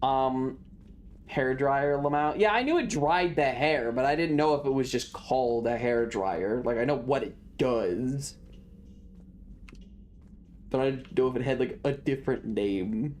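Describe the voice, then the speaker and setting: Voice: mid-range voice, a little slow, a little mellow. A streamer chatting casually while playing a video game, rambling along to themselves and the viewers. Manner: rambling, talks to self and chat. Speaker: streamer hosting a live stream.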